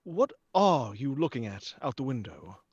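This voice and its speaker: A man's voice: medium pitch, big pitch swings, moderate pace, a little mellow, slightly rough, dry recording. A man playing a stubborn, sceptical and suspicious house buyer in 1940s radio drama style, on a lo-fi recording. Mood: unbothered, curious. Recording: dull lo-fi sound with faint noise.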